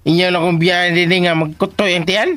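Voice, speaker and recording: A man's voice: sits high, flat delivery, moderate pace, bright, forceful tone. A Filipino-accented man in a radio drama playing a gluttonous, teasing nephew who comically fumbles and repeats his words. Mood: bantering, indignant.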